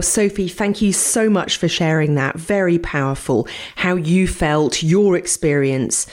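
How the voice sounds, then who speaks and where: A woman who is a doctor, hosting a health show and introducing her guest with clear, scripted delivery. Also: fairly low pitch, a little mellow. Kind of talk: radio health interview hosting.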